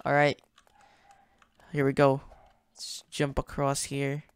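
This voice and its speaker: A man's voice: medium pitch, completely flat delivery, slow pace, clear, resonant tone, dry recording. A young man hosting a gaming channel, casually narrating his play in a chatty, slangy way, thinking aloud as he goes. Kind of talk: casual gameplay commentary.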